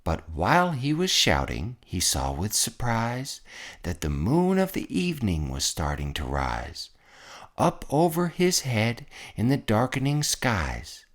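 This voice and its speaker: A storyteller reading a children's picture book aloud in an expressive scripted narration. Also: medium pitch, unhurried.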